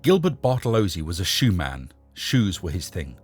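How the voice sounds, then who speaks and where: A man with a husky voice narrating a short story in a measured, expressive storytelling manner. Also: sits low, soft background music. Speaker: narrator and host reading short stories. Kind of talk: scripted story narration with a short casual introduction.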